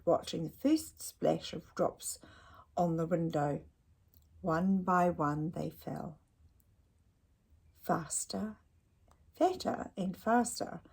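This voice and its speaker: Husky-voiced woman reading a picture book aloud. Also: lowish voice. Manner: expressive, talks to young listeners with questions. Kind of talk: children's picture-book read-aloud with chatty asides.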